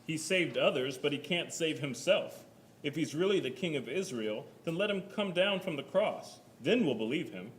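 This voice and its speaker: A man's voice: fairly high pitch, expressive, moderate pace, slight background noise, close to an everyday voice. A man playing a mocking bystander at an execution, taunting and declaiming in a staged Bible reading. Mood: scornful, taunting.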